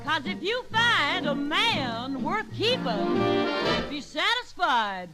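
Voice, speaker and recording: A woman's voice: high-pitched, very animated, takes it slowly, over loud music. A woman performing a vintage swing number, half singing and half talking, sassily addressing other women. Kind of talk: vintage swing song, sung and half-spoken. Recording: vintage swing record, loud band.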